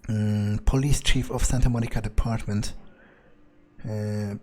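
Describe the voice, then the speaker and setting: A man giving in-character gameplay commentary, thinking aloud and muttering to himself in a halting, musing way. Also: lowish voice, fairly even intonation, a little mellow. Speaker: let's player role-playing his game character.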